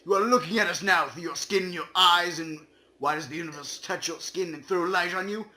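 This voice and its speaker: A man giving a mock-dramatic comedic reading, swinging from hushed whispers to shouts and breaking in with silly asides. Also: sits high, brisk. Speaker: YouTuber.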